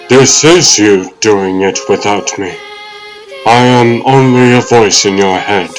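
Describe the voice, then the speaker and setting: A man voicing the ghost of an old butler, fatherly, earnest and deliberate, in a dramatic comic dub. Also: on the low side, low-quality recording. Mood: tender, encouraging.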